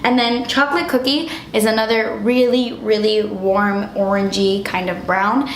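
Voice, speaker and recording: A woman's voice: medium pitch, fairly even intonation, normal speed, roomy sound, a little hiss or hum, low-quality recording. A young woman reviewing makeup in a chatty, gushing way, losing her voice as she gets sick. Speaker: beauty vlogger. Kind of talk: casual product review.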